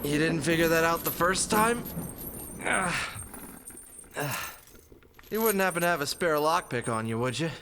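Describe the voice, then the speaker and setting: A woman playing a wisecracking fox adventurer in an audio drama, wry and bantering, cocky but quick to get flustered. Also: low-pitched, expressive, a bit bright, slight background noise. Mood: dry, teasing. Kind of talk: audio drama cartoon-like acting.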